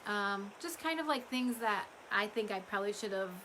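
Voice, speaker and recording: A woman's voice: medium pitch, animated, moderate pace, sharp tone, a little hiss or hum, rough recording. A woman chats casually and ramblingly to customers on a livestream, her voice a little strained from talking. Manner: rambling, chatty. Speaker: small business owner hosting a live update.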